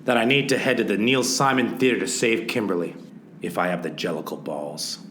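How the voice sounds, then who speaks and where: A man's voice: fairly low pitch, a little fast, natural voice. A man playing an insistent, comically hard-boiled detective in a radio noir parody, pressing and melodramatic. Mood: wry, determined. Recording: echoey room, clear background noise.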